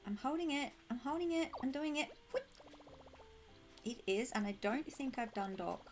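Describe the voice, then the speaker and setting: A woman with a slightly croaky throat chatting casually and bubbly to viewers while live streaming a game, affectionate and quick to giggle. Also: high voice, expressive. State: croaky throat, needs clearing. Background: music.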